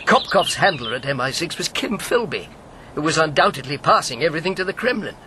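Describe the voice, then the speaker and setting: An actor playing an urbane, needling elderly former secret agent in a radio drama, articulate and teasing. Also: male voice, fairly high pitch, animated, a little fast, noisy background, lightly acted. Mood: grave, informative. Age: 70s or older.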